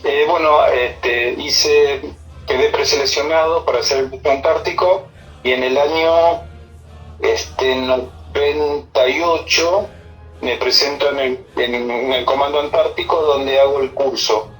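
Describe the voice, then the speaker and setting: Argentine guy, middle-aged army officer on a phone call, talks in halting bits, music playing behind him. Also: mid-range voice, fairly even intonation, fairly bright tone. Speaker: army senior non-commissioned officer being interviewed. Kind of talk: informal interview answers, personal recollection. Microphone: telephone.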